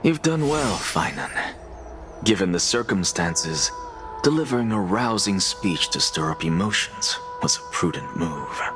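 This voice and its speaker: An actor playing a composed male scholar sage who speaks in a measured, formal way in a game cutscene. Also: low voice, sing-song. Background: game music.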